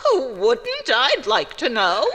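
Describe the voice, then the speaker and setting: Actress as folksy, indignant neighbor woman for radio courtroom drama; unhurried, emphatic delivery in a reverberant hall. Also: sits high, huge pitch swings, crowd noise, full character voice. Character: indignant gossipy small-town neighbor woman on the witness stand. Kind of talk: old-time radio drama acting.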